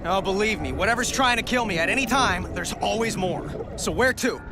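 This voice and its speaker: An actor playing a flippant, sarcastic young superhero in a video game. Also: male voice, sits high, lively intonation, fairly quick, background noise. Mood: wry. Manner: sarcastic, quippy. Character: wisecracking young male superhero, flippant but weary.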